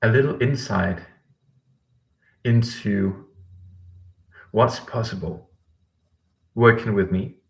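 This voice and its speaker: Gravelly low-voiced male language coach. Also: slow, pauses often. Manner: rambling, frequent ums, self-corrections. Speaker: Danish language coach hosting a live Q&A. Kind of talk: live-stream talk to chat viewers.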